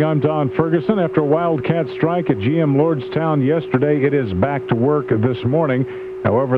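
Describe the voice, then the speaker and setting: A man reading a scripted morning news bulletin as a radio newsreader. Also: on the high side. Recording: tinny AM radio with clear hum.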